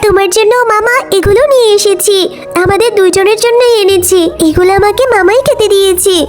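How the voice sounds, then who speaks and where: A woman voicing a gentle, put-upon orphan girl in a cartoon, speaking meekly and eager to please. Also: extremely high pitch, steady tone, a little fast. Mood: pleading. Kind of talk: cartoon dialogue. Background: music.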